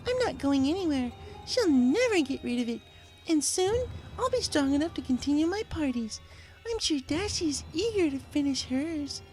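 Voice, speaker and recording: A man's voice: very high voice, expressive, moderate pace, a little mellow, soft background music, voice pushed up, rough recording. A man voicing a female killer pony who is menacing and frighteningly cheery, taunting in a mock-sweet, sing-song way, in a read-aloud story.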